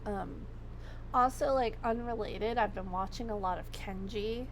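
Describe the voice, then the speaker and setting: A woman livestreamer chatting casually, her voice going hoarse as she rambles and swears freely. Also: mid-range voice, unhurried, slight background noise, rough recording. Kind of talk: casual chat.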